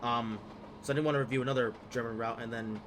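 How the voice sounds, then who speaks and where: A young man, a little congested from a cold, talks through his gameplay in a loose, rambling way, full of fillers and half-finished asides. His voice sits at an ordinary middle pitch. A faint hiss runs under the desk-mic recording. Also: steady tone, fast talker. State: slightly stuffed up from a cold. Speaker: gaming video host. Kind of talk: casual gameplay commentary.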